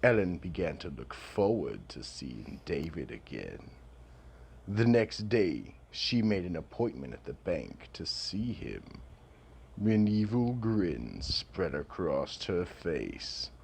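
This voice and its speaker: Very deep gravelly male narrator. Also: big pitch swings, slow pace, a little mellow. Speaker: narrator and host. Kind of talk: radio drama narration.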